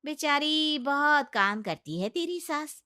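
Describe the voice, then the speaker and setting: A nosy older auntie played by a woman gushes in a storybook drama. Her voice is high and piercingly bright, and she speaks slowly with heavy emphasis. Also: expressive, heavily acted. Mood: gushing, teasing. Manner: gushing, emphatic. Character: effusive, nosy older auntie, friend of the mother-in-law. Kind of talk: acted storybook dialogue.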